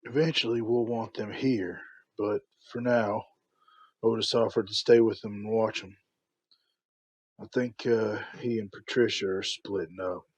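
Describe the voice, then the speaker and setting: Guy acting older farmer dad in a comic drama, husky voice, humble n halting, sounds sorry. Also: lowish voice, fairly even intonation, muffled recording. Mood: calm. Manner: halting, earnest. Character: grieving, remorseful farmer father, humbly thankful.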